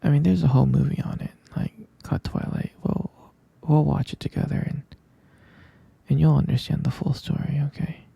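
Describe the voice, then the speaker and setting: A man in an ASMR roleplay as a tender vampire boyfriend, whispering soothingly and haltingly to help his partner sleep. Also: a little high, level tone, creaky, slightly rough, no room sound, lo-fi sound. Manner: soft, halting, soothing whisper with murmured hums. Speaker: ASMR performer.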